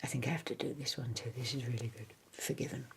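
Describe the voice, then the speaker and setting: A woman reading poems aloud in a hushed, soothing whisper, as in ASMR. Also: very deep voice, steady tone, fast, a little hiss or hum. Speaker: reader.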